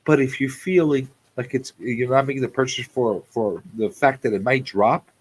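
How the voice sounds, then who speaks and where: A man's voice: mid-range voice, moderate pace, slightly rough, low-quality recording. A man in his forties hosting a casual live talk show, repeating phrases for emphasis as he talks off the cuff. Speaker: radio show host.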